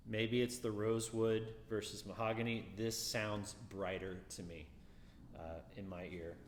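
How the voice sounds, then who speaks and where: A man with a low, deeper voice talks casually through a guitar review, relaxed and musing, often doubling back on his words. He sounds like he is in a roomy space with a long reverb tail and a faint hum of ambience behind him. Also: a little slow.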